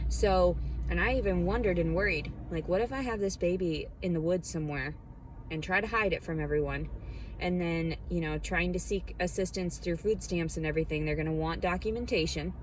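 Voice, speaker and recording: A woman with a lowish voice vents to her viewers in a heated, defensive rant. Faint vehicle noise hums in the background. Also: low-quality recording. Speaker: vlogger.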